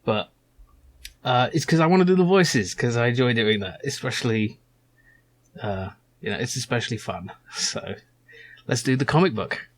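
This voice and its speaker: A man's voice: medium pitch, animated, moderate pace, long pauses. Man hosting a casual let's play. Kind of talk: casual game commentary and comic-book narration.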